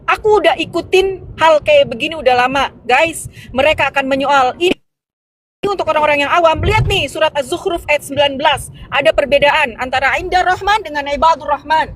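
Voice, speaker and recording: A woman's voice: high-pitched, brisk, a bit bright, slight background noise. A woman hosting a live stream, explaining at length in a casual way with restarts and fillers, talking to viewers from the road. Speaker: host of a live religious debate stream.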